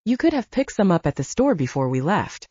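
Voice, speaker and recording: A woman's voice: on the low side, sing-song, a little fast, warm tone, slightly rough, no room sound, dull audio. A woman voicing a candid, playful young woman, the heroine of an audiobook romance. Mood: teasing.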